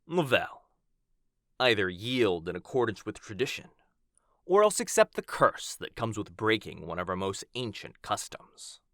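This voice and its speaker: A man's voice: on the low side, very animated, moderate pace, fairly bright tone, no room sound, studio quality. A man playing a composed, defiant young vampire nobleman with formal, theatrical delivery in an audio roleplay. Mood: defiant, commanding.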